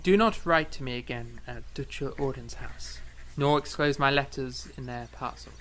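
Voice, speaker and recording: A man's voice: medium pitch, moderate pace, a bit bright, a little hiss or hum, natural voice. A man playing a proud, fiery young eighteenth-century composer in a period audio drama, speaking formally and emphatically with theatrical flair. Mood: earnest, proud.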